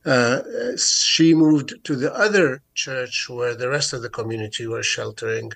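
A mature man with a Palestinian Arabic accent, giving an unscripted account in a radio interview in a halting, deliberate way with many hesitations. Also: mid-range voice, vocal fry, fairly bright tone. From Jerusalem, Palestine. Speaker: interview guest, advocate.

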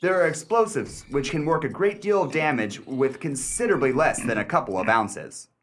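An actor playing an exasperated, analytical gentleman in a period mystery radio play, speaking with formal, precise diction. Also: medium pitch, lively intonation, normal speed, slight background noise, lightly acted. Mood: skeptical, musing.

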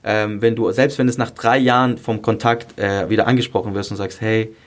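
A man's voice: fairly low pitch, level tone, a little fast. A man in his early twenties from Greece and southern Germany, chatting candidly as a podcast guest in casual, youthful, emphatic language. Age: early 20s. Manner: candid, youthful colloquial phrasing, emphatic repetition. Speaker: podcast guest, singer and song contest contestant. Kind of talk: casual interview chat.

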